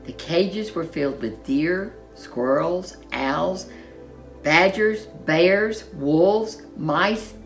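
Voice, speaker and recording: A woman narrating a children's story in an expressive, dramatic storyteller's manner. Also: on the low side, extremely slow, faint music behind.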